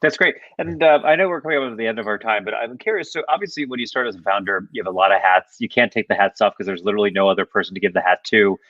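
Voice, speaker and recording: A man's voice: lowish voice, rapid-fire, few pauses, a bit bright, no echo. A man moderating an interview in a casual, conversational way, heard through lo-fi audio.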